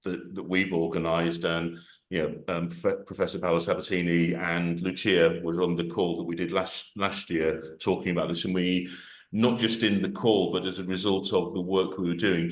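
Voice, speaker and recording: Low voiced guy running a video call panel, lots of um and restarts, echoey room. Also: level tone, moderate pace. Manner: hesitant, many restarts and fillers. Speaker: event organiser and moderator. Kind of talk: online panel discussion.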